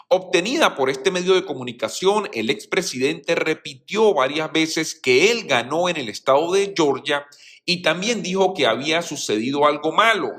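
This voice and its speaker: A man's voice: fairly high pitch, bright, forceful tone. A man giving news commentary as a host, explaining things and addressing viewers directly.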